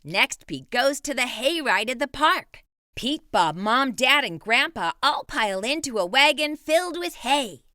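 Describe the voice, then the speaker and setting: A woman reading a children's picture book aloud, with a lively, expressive delivery. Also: high voice, a little slow, dry recording, studio quality. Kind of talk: read-aloud storytelling.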